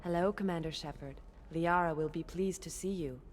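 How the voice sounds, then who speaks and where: A woman's voice: fairly low pitch, moderate pace, a little mellow, a little hiss or hum, close to an everyday voice. A woman playing a polite, deferential alien assistant in a video game, speaking formally. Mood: polite, respectful. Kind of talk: video game dialogue acting.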